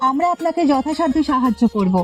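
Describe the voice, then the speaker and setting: A woman's voice: high-pitched, animated, fast talker, faint music behind. A woman voicing a kind, cheerful village wife in a cartoon, who speaks in a reassuring way. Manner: warm-hearted, reassuring. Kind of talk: cartoon voice acting.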